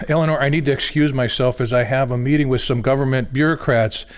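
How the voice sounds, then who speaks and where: Guy acting a polite devoted adviser in a radio play, mid-pitched, formal and deliberate. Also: fairly even intonation, clean tone, close to an everyday voice. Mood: courteous. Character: courteous, devoted male political adviser to First Lady. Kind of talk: radio theater dialogue.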